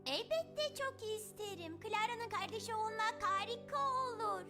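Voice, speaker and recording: Earnest homesick cartoon girl, very high and hesitant, over clear music. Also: expressive, a little slow, exaggerated voice. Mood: resigned. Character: young orphan girl, earnest, anxious, homesick. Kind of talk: dubbed cartoon acting.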